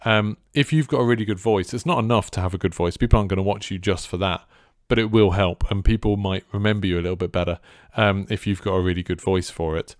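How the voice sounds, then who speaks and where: Casting: man, deep clear voice, easygoing delivery, casual creator advice, very dry sound. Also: fairly even intonation, fast, no echo. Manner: chilled, laid back. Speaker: streamer and content creator giving advice. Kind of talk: casual advice talk to viewers.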